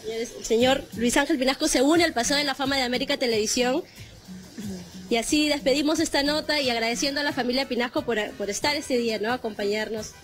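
A woman's voice: high voice, fairly quick. A woman in her thirties with a Peruvian accent, reporting live outdoors on a handheld microphone. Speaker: field reporter. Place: outdoors, outside TV studio building.

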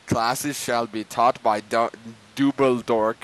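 A man's voice: medium pitch, fairly even intonation, takes it slowly. A man hosting a dramatic reading of fan fiction, theatrical and stumbling over words. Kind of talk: mock-dramatic reading aloud.

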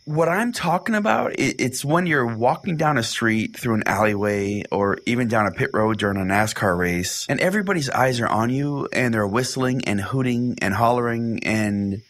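A man's voice: medium pitch, normal speed, few pauses, a bit bright. A man hosting a comedy show as a mock-serious, rambling pastor. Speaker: host playing a pastor of a comedy call-in show. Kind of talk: comedy podcast monologue and banter.

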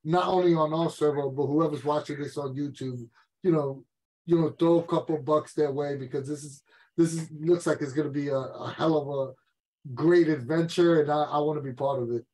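A man's voice: a little high, steady tone, normal speed, mellow, rough recording. A man co-hosting an interview over a video call, casual and earnest, repeating words for emphasis. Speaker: interview co-host. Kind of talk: casual, earnest appeal to viewers. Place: indoor room on a video call.

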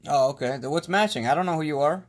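A man's voice: on the high side, fast talker. A man talking casually on a phone call, pleading with naive-sounding worry. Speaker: prankster call recipient playing along with a scammer.

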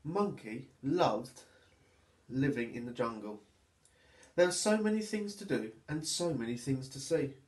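A man in his thirties reads to young children like a teacher at story time. His delivery is unhurried and very expressive, with lively swings in pitch, recorded in a small echoey room. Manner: expressive, deliberate, addressing young listeners. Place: small plain room at home, indoors.

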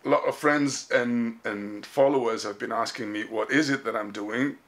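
A man's voice: mid-range voice, moderate pace, fairly bright tone. A middle-aged man, a musician, talking casually to camera as he presents a show. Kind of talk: casual vlog talk to camera. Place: small room indoors.

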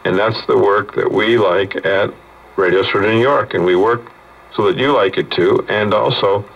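A man's voice: on the low side, moderate pace, creaky, husky, muffled recording. A man speaking as a doctor promoting his practice on the radio, heard through a vintage set.

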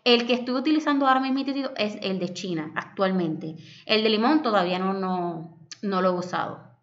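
A woman's voice: medium pitch, moderate pace, dull audio. A young woman from Puerto Rico with a Puerto Rican Spanish accent, narrating a home cleaning vlog in an affectionate, chatty voice-over that calls the viewers "my loves". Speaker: home vlogger.

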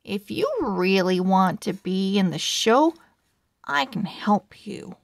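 A woman's voice: mid-range voice, lively intonation, slow pace, gravelly, no echo, studio quality, voice pushed down. A woman voicing an eager, put-upon little male pug from a children's storybook, earnest and hesitant. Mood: earnest, helpful.

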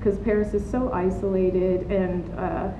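A woman's voice: fairly even intonation, unhurried. A woman in her thirties from the United States, talking casually and reflectively in an interview, with many fillers and restarts, recorded outdoors on a patio. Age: 30s. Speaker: artist being interviewed. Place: outdoor patio of an art studio. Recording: roomy, dull outdoor recording with rumble.

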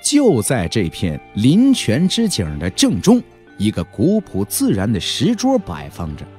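A man's voice: medium pitch, wildly swooping pitch, measured pace, a little mellow, faint music behind. A man narrating an audiobook as a dramatic, colloquial storyteller, with playful, wry asides.

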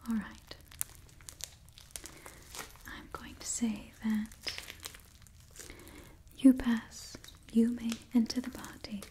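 A woman in her twenties doing a whispered ASMR roleplay as a witch hosting a party, speaking gently and deliberately into a close microphone, softly repeating words. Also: on the high side, level tone. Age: 20s. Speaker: ASMR performer. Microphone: close microphone, near the camera.

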